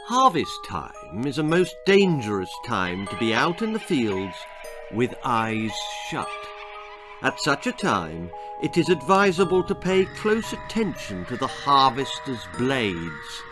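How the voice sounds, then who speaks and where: A man in his sixties tells a children's tale. His delivery is slow and emphatic, with a lively, swooping pitch. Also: over music. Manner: theatrical, emphatic. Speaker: author reading own story aloud. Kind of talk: dramatic children's storytelling. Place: small book-lined room at home.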